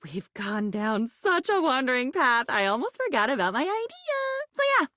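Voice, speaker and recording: A woman's voice: sits high, very animated, moderate pace, dry recording, clean studio sound, full character voice. A woman voicing a sweet, naive and chatty living diary in a video game, rambling with wide-eyed wonder. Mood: playful, reflective. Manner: breathless rambling, wide-eyed, swings from hushed to gushing.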